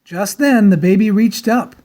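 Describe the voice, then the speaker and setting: A woman narrating a children's storybook aloud in a dramatic, theatrical style with deliberate emphasis. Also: medium pitch, warm tone.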